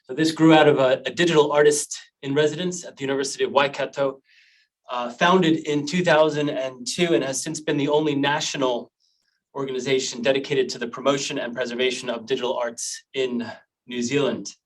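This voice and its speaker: A man of middle pitch chairs an online panel and introduces its speakers in a measured, formal way. His voice comes from some distance away from the microphone over a video call. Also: steady tone. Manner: measured, formal speech with Māori greetings.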